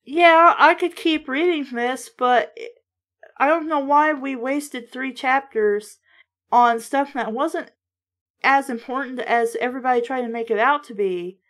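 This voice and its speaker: Blunt, sweary woman with a higher-pitched voice. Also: measured pace, fairly bright tone. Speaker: host of a book-review show. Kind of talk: casual reading aloud with blunt critical commentary.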